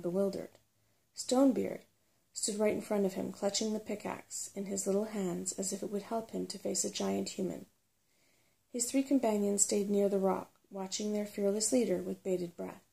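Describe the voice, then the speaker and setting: A woman reads a children's fantasy novel aloud for ASMR. Her delivery is soft and unhurried. The voice is dark and relaxed, with a creaky edge. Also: mid-range voice, rough recording. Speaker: storybook reader.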